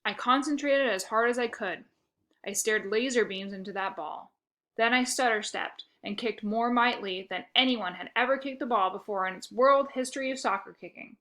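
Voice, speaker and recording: A woman narrating a children's book aloud with expressive, first-person storytelling. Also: on the high side, moderate pace.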